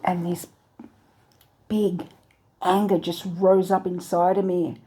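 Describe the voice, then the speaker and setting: A woman earnestly recounting a dream, halting now and then to search for words. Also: fairly low pitch, level tone, dark tone, slightly rough. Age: adult with a three-year-old daughter. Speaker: channel host recounting a dream. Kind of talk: personal dream testimony.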